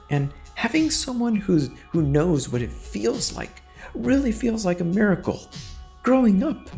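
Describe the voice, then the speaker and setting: Guy doing a meek little confessing killer, higher voice, halting and emotional, horror story with music. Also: a little mellow, heavily acted. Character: small meek balding man confessing as a recovering killer.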